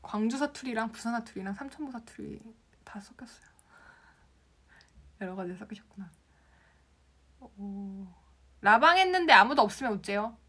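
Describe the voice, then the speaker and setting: A young woman from the Gyeongsang region hosts a casual live stream. She reads viewer comments aloud and answers them with a lively voice that rises and falls a lot, set somewhat high for a woman, laughing often. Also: moderate pace, pauses often. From Gyeongsang province, Korea. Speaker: Instagram class instructor on a live stream.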